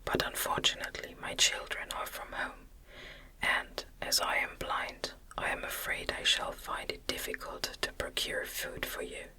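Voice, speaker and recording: A woman voicing a kind, blind old man in a whispered audiobook, courteous and measured. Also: bright, forceful tone, low-quality recording. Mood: welcoming. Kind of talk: whispered ASMR audiobook reading.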